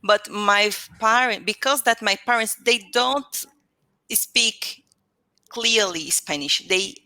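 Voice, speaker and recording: A woman from Brazil with a Brazilian Portuguese accent, practicing English and often pausing and restarting as she searches for words. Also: mid-range voice, sharp tone, no room sound. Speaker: English learner. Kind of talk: casual conversation practice.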